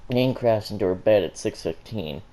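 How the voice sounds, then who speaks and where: A man's voice: fairly low pitch, lively intonation, moderate pace, dark tone, rough recording. A man reading fan fiction aloud as amateur storybook narration, stumbling and correcting himself as he goes. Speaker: fan fiction reader for a gaming channel.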